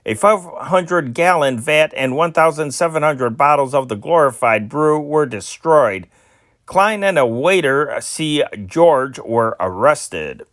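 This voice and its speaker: A man's voice: fairly high pitch, animated, slow, fairly bright tone, no echo, studio quality. A man reading old newspaper stories aloud as an amateur news reader, stumbling and correcting himself now and then.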